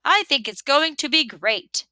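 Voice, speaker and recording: A woman voicing a big, good-natured bear with a hearty, drawn-out delivery in a children's storybook read-aloud. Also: sits high, lively intonation, bright, forceful tone, no echo, voice pushed up, exaggerated voice. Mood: contented.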